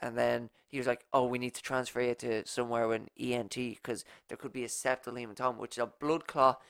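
A man's voice: mid-range voice, level tone, quick pace, gravelly, a bit bright, smooth, dry recording, clean studio sound. A young Irish man in his twenties with a nasal voice, telling a rambling story in a casual podcast chat. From Ireland. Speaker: podcast co-host.

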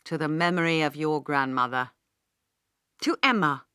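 A courteous older British business magnate voiced by a woman reading an audiobook. He speaks in a measured, gracious, formal way, like a fond uncle. The recording is very dry. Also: deep voice, pushed and bright, smooth, no room sound. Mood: fond tribute.

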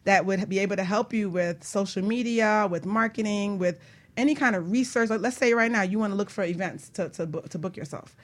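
A woman's voice: medium pitch, brisk. A woman hosting a radio show in a casual, playful and direct chatty style. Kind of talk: casual talk-show chat.

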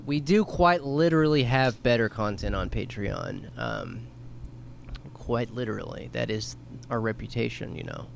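A man co-hosting a podcast, chatting casually and rambling, his voice going hoarse. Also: mid-range voice, big pitch swings, a little slow, background noise. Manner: rambling, laughing, trailing off. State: losing his voice. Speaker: podcast co-host. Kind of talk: casual chat.

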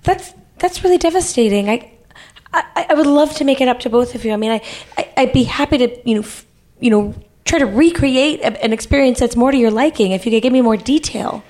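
A woman's voice: a little high, brisk, darkish tone, lightly acted. A woman playing a meek, eager-to-please employee, hesitant and stammering, in live improvised radio theater. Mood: apologetic, eager. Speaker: improv performer.

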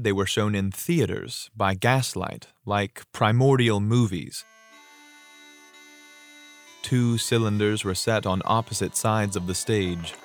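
A man with a fairly low voice reads narrative history as an actor would, dramatically and expressively. His delivery is measured storytelling, with faint music behind him. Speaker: actor reading from a history book. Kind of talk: dramatic audiobook-style reading.

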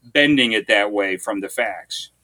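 Male podcast host, bright tone, halting, reads quotes aloud. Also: fairly low pitch, rough recording. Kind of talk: casual history discussion.

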